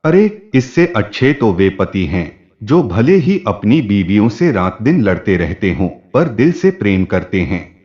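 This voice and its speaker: A man's voice: lowish voice, sing-song, measured pace. A man voicing a caring but suspicious, emphatic woman neighbour in a family story. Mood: indignant. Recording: dull, muffled lo-fi audio.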